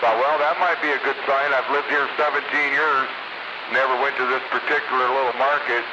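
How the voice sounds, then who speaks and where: An American man talks casually over a CB radio in plain, folksy words. His voice sits at a middling pitch. The signal is muffled and thick with static and hiss. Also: steady tone, brisk. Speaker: CB radio operator.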